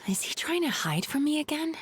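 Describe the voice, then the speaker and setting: A woman's voice: high-pitched, big pitch swings, a little fast. A woman voicing a caring, composed young female therapist in an anime game, articulate and polite but firm. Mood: thoughtful. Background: faint background music.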